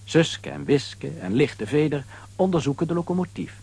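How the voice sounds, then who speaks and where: A man's voice: a little high, lively intonation, normal speed, vocal fry, a little mellow, husky, full character voice. A man narrating a scripted audio drama for children as its storyteller.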